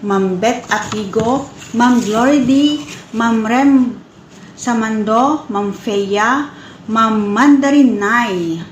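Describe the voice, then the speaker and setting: A woman with an Ilocano accent reading out shout-out greetings, halting and stumbling over names. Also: on the high side. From northern Philippines. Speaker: listener sending shout-out greetings. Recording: echoey room with faint background noise.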